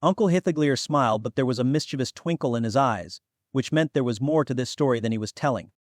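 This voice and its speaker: A man narrates a fantasy audiobook from a script. His voice sits at a medium pitch, and the recording is close and dry. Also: animated, fast, no echo.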